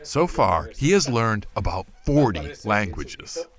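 A man's voice: fairly low pitch, sing-song, slow pace. A man narrating a television news feature in a scripted storytelling way. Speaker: news correspondent.

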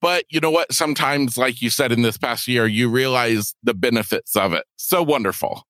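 A man's voice: medium pitch, moderate pace, a bit bright, dry recording, studio quality. A man hosting a podcast, eager and gushing as he welcomes and questions his guest. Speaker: podcast host. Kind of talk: enthusiastic interview hosting. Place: Florida.

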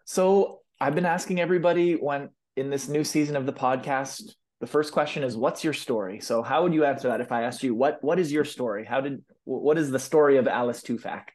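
A man's voice: mid-range voice, fairly quick, hardly any pauses. Easygoing male podcast host. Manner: easygoing, relaxed questions. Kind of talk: scripted intro, then casual interview.

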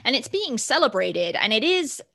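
A woman's voice: a little high, sing-song, normal speed, vocal fry, a bit bright, dry recording, clean studio sound. A woman co-hosting a casual conversation, candid and critical, laughing easily.

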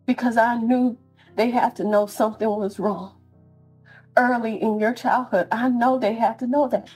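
Higher-voiced woman, earnest and pointed. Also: steady tone, moderate pace, darkish tone, slightly rough, rough recording. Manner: earnest, pointed direct address. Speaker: mother giving victim impact statement. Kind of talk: spoken victim impact statement in court. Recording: faint music laid under the voice. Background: faint instrumental music.

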